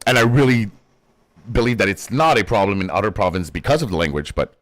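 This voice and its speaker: A man's voice: fairly low pitch, expressive, fast talker. A young man from Quebec whose first language is French, speaking English with a Quebec French accent in a rambling, profane, laughing casual chat while he plays a game. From Quebec, Canada. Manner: rambling, swears a lot, laughs often. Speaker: gaming streamer.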